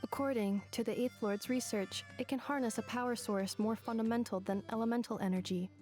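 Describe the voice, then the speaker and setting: A composed female robot voiced by a woman speaks in a fairly high, measured voice. She is gentle, precise and sincere, a game character over faint music. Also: steady tone, lightly acted. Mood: composed, earnest. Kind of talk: video game character acting.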